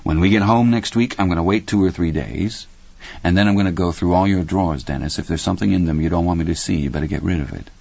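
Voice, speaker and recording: A man reading as a strained father in an audiobook drama, grim and forceful, with a short temper. The sound is dry and a bit muffled. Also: low voice, fast talker, vocal fry, husky, dry recording, heavily acted. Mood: stern, calmer.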